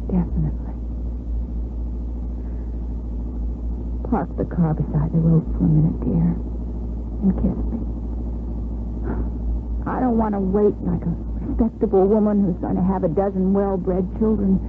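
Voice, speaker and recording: A woman's voice: medium pitch, steady tone, normal speed, natural voice. An actress playing a tender, remorseful woman in love in a 1950s radio soap. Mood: loving, bold. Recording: tinny, noisy old radio recording.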